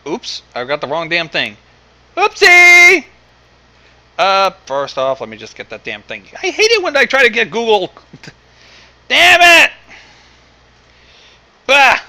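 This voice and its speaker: A man hosting an online fan fiction reading, chatting casually with swearing in an excitable, exasperated way. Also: very high-pitched, slow pace, pauses often. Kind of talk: casual, profane online chat.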